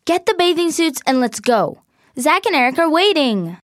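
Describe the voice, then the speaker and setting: A woman's voice: high voice, very animated, normal speed, dry recording, studio quality, pitched above the performer's own voice. A woman voicing a mean, bossy teen girl. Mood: sneering. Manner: cold, sneering.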